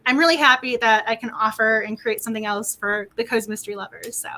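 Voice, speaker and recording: A woman hosting a book club livestream in casual chat, excitable and quick to laugh, her voice getting worn. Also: a little high, slight background noise. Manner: excitable, laughs often, repeats words.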